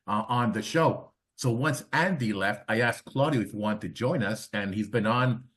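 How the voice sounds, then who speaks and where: A man's voice: lowish voice, normal speed, a bit bright. A man hosting a casual interview podcast, talking enthusiastically and repeating phrases for emphasis. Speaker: podcast host.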